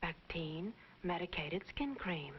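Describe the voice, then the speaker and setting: A woman playing an earnest skin-cream demonstrator in a 1950s TV commercial, speaking softly, near a whisper at times. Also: on the low side, animated, slow pace. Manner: soft, breathy, near-whisper in places. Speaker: commercial presenter. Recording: dull 1950s TV ad, faint noise.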